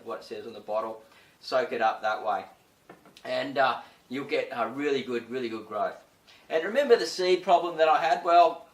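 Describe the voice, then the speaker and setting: A man hosting a casual gardening tutorial, chatty and full of fillers, his voice slightly croaky. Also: medium pitch, fairly bright tone, roomy sound, far from the mic, rough recording. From Australia. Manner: chatty, many "uh" and "you know" fillers. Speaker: gardening channel host.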